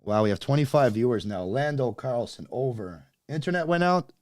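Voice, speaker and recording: A man's voice: mid-range voice, lively intonation, moderate pace, darkish tone, no echo, clean studio sound. A man in his late thirties, born in Russia with Russian as his first language, with a slight Russian accent, chatting casually with viewers on a livestream as he sorts out technical problems. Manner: chatty, talks straight to viewers while fixing tech. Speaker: YouTube livestream host.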